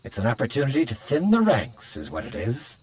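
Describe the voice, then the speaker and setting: An actor playing a blunt, bloodthirsty combat companion in a video game. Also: male voice, medium pitch, wildly swooping pitch, fairly quick, a little mellow, slightly rough. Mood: grimly eager. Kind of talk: video game character acting.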